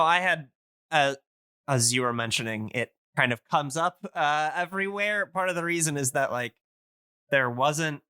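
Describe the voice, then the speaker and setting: A man's voice: medium pitch, bright, forceful tone, clear, resonant tone, dry recording. A man chatting casually and explaining things as a podcast guest.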